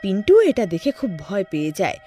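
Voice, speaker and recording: A woman's voice: medium pitch, expressive, fast talker, warm tone, soft background music. A woman narrating a scripted story in a dramatic storytelling style.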